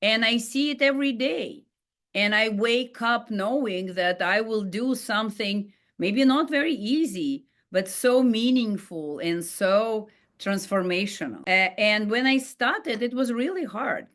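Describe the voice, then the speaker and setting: A woman's voice: on the high side, expressive, unhurried, fairly bright tone. A middle-aged woman with a Russian accent, a life coach telling her own story in an earnest, heartfelt way, with frequent fillers and restarts. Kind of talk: personal storytelling monologue.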